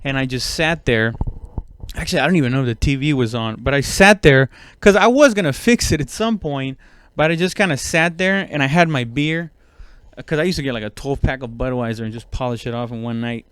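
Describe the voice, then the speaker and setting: A man's voice: mid-range voice, a little fast, fairly bright tone, smooth, dry recording, clean studio sound. A man telling casual, comedic stories as a podcast host, rambling along in slangy, profane talk.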